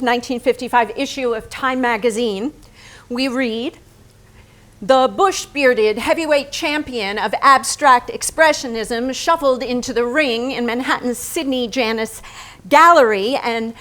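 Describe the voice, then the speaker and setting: Woman acting painter's anguished yearning wife, high voice, really slow, dramatic stage reading. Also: a bit bright.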